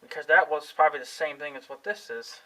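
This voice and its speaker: Man, young adult, casual vlog talk, fairly high pitch, hesitant, profane. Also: fast, sharp tone, low-quality recording. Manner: unsure, thinking aloud, swears casually. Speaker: vlogger. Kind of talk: rambling casual talk to camera.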